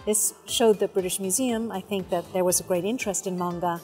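A woman's voice: mid-range voice, fairly even intonation, a little fast, mellow, faint music behind. A middle-aged woman, a curator, explaining her work in an on-camera interview. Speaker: exhibition curator and research director. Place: indoors in a museum exhibition gallery.

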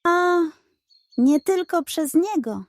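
A woman's voice: very high-pitched, animated, takes it slowly, no room sound, voice pushed up, exaggerated voice. Tiger pirate captain , voiced by a female storyteller, composed, proud and resolute, children's audio tale. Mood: calm, resolute. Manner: firm, composed.

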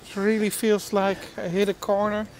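A vlogger talks in an everyday conversational way at a middling pitch. It is recorded outdoors on a walk, with faint ambient noise behind the words. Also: little pitch movement, moderate pace, darkish tone, poor audio. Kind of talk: casual walking vlog chat. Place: outdoors walking in a snowy theme park.

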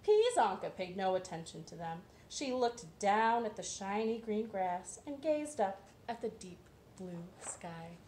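Animated young woman hosting children's storytime. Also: mid-range voice, unhurried. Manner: playful, exaggerated, expressive. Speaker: children's librarian storytime host. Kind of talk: children's storytime read-aloud. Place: living room at home. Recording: roomy echo, some background hiss.

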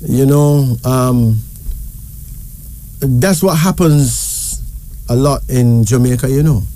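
Mellow-voiced Barbadian man reading cards. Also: medium pitch, slow pace, a little hiss or hum. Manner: chatty, reassuring, tag questions. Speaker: card reader. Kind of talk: casual card reading chat.

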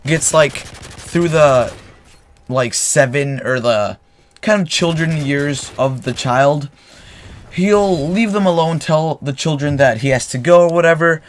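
A man's voice: a little high, slow, a little hiss or hum. A young man with a cold, casually telling a story while rambling with fillers and correcting himself. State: getting a cold, clears throat. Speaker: gaming channel host. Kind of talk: casual storytelling over gameplay. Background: faint game gunfire.

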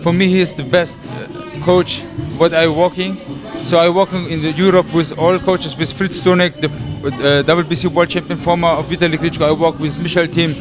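A man's voice: on the high side, flat delivery, moderate pace. A man in his thirties from the Middle East with a German accent, a heavyweight boxer answering in earnest, broken English into a handheld mic in an indoor gym. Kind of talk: interview answers. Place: large boxing gym, indoors. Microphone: handheld interview mic. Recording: clear background music. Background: music in the gym.

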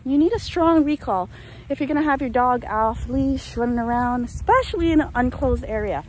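A young woman with a slightly high voice chats and rants while walking her dog across an open field. She holds her phone at arm's length, and the outdoor recording carries a faint hiss of rain and open air. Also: normal speed, darkish tone, rough recording. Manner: chatty, ranting, laughs often. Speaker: dog trainer vlogger. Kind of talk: walk-and-talk vlog. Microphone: phone held at arm's length.

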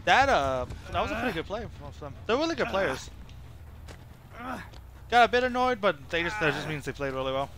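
A man's voice: high-pitched, very animated, brisk, long pauses, crowd noise. A man giving casual live gameplay commentary as a streamer, rambling and thinking aloud in a self-critical way. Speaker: game streamer.

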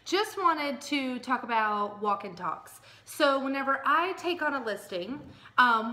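A woman's voice: high-pitched, sharp tone. A middle-aged American woman giving casual, folksy advice to camera as a real estate agent, speaking emphatically and plainly. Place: small furnished room at home or office, indoors. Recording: echoey room sound.

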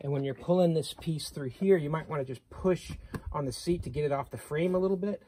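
A man hosting a casual how-to video, explaining step by step in a plain, relaxed way while working outdoors beside a vehicle, filmed on a handheld phone. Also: fairly high pitch, brisk, husky. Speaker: channel host.

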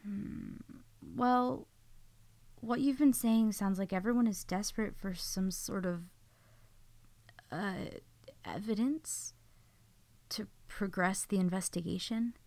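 A woman playing a pragmatic, steady female survivor in an animated film. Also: medium pitch, takes it slowly, pauses often, no echo. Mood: pondering.

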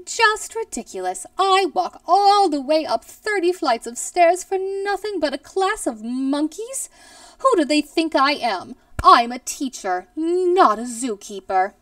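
Woman acting bewildered nice schoolteacher, storybook character, incredulous, high, swooping intonation, stubborn. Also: expressive, unhurried, fairly bright tone, no room sound, recorded from a distance. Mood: indignant. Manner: exclaiming, incredulous.

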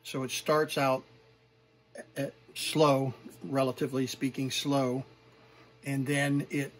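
A middle-aged man presenting from home, showing vintage gear in an unscripted, halting, informal and appreciative way. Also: medium pitch, level tone. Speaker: vintage tape recorder collector and presenter. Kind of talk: unscripted hands-on demonstration.

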